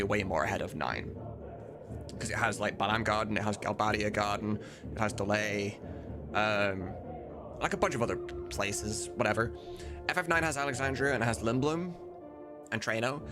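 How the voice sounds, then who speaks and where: Low-pitched man, casual livestream chat, music behind. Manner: informal, swearing, thinking aloud. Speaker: streamer and mod voice director.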